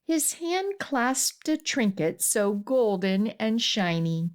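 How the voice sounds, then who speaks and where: A woman hosting a children's storytime and reading a picture book aloud in an expressive, deliberate way. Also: a little high. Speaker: children's librarian and storytime host.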